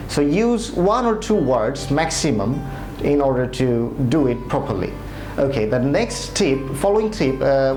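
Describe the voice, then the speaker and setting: Male tutor, hesitant delivery full of fillers, roomy echo with soft music behind. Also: a little high. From Bangladesh. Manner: hesitant, many "uh" fillers and restarts. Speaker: IELTS tutor. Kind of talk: spoken exam tips lesson.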